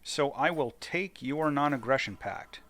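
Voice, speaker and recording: A man's voice: mid-range voice, unhurried, a bit bright. A man giving casual let's play commentary, thinking aloud and deliberating as he hosts a gaming video.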